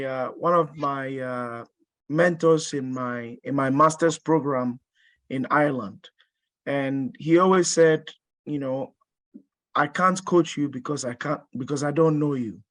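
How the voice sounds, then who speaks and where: A man's voice: fairly high pitch, a little slow, a bit bright, dry recording. Ghana-born guy in his 30s, coach giving an online talk, lots of ums and restarts, dry close sound. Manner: hesitant, many fillers and restarts. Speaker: strength and conditioning coach. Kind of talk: webinar presentation.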